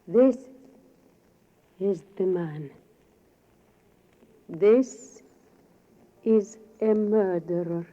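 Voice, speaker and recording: An actress playing a theatrical, mysterious mind-reading woman in 1940s radio drama, speaking in portentous, drawn-out phrases. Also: medium pitch, dark tone, slight background noise. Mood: grave accusation. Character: slow-spoken stage mind reader woman, theatrical and mysterious. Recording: dull 1940s radio recording.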